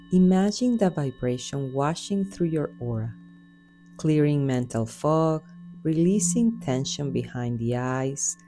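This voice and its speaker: Slow, low-voiced woman guiding meditation. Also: dark tone, slight background noise. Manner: soothing, unhurried, measured phrases. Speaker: guided meditation host and Reiki practitioner. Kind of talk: guided meditation narration.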